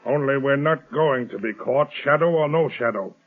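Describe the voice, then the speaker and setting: A man's voice: medium pitch, moderate pace, natural voice. An actor playing a cocky, threatening male kidnapper who sneers his defiance in a 1930s radio drama. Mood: sneering. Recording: tinny old radio broadcast.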